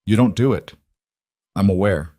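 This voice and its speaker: A man's voice: on the low side, moderate pace, mellow, no echo, clean studio sound, lightly acted. A man voicing a guarded, weary, candid woman executive in a narrated story. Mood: weary, frank.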